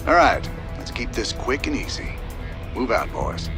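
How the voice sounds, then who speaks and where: A man's voice: mid-range voice, sing-song, measured pace, music in the background. A man playing a tough, confident outlaw commander in a video game, giving terse, cowboyish orders. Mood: confident, brisk. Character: tough, confident male outlaw commander leading troops underground.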